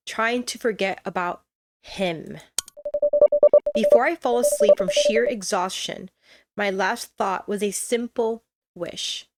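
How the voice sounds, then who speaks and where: A woman narrating a visual novel aloud as a let's player, with expressive, conversational reactions. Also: medium pitch, a little hiss or hum. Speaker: gaming streamer, let's player. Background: game music.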